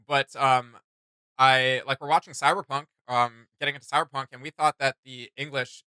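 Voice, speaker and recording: A man's voice: mid-range voice, steady tone, normal speed, bright, forceful tone, smooth, no echo, poor audio. A man chatting casually and enthusiastically as a reaction host, rambling and slangy. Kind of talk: casual enthusiastic fan chat.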